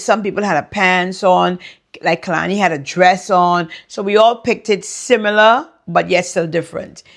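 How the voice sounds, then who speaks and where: Woman, 50s, vlog host, mid-pitched, casual and chatty. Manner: chatty, addresses viewers directly. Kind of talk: casual vlog narration to viewers.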